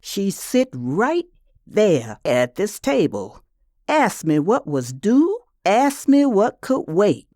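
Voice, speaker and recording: A woman's voice: mid-range voice, big pitch swings, dark tone, no echo, studio quality, pitched above the performer's own voice. A woman playing a stern, knowing older mother in an audio drama, speaking plainly and firmly. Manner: measured, plainspoken, firm.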